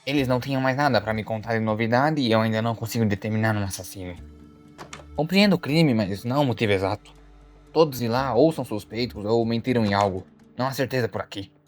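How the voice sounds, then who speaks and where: A man playing an earnest, easily startled detective in an animated mystery short, theatrical as he deliberates aloud. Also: medium pitch, faint music behind, close to an everyday voice. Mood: grave, deducing. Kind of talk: dramatic monologue, animated short voice acting.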